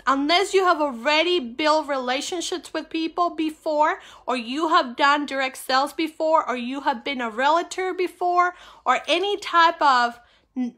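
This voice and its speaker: Bright, high-pitched Peruvian-accented woman. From Peru. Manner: upbeat, emphatic, repeats key phrases. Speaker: network marketing coach and livestream host. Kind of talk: informal livestream talk to viewers.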